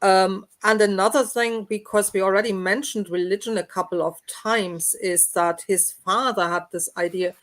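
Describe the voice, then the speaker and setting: A woman's voice: mid-range voice, steady tone, normal speed, few pauses, fairly bright tone. A woman talking casually in a book discussion, often ending statements with a questioning tag.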